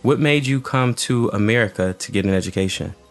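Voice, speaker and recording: A man's voice: fairly low pitch, a little fast, faint music behind. A male host introducing and interviewing a guest in a friendly, conversational way. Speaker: show host. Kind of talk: interview hosting.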